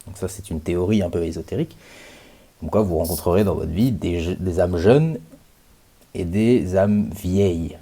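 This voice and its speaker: A man in his late thirties giving a reflective, measured monologue to his viewers, pausing often and stretching out words. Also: low-pitched, mellow, breathy edge. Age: 30s. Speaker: channel host.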